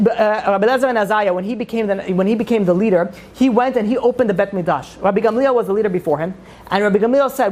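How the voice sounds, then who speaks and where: Rapid-fire woman teaching a religious class. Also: medium pitch, darkish tone. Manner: emphatic, asks and answers own questions. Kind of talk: informal religious lecture to a group.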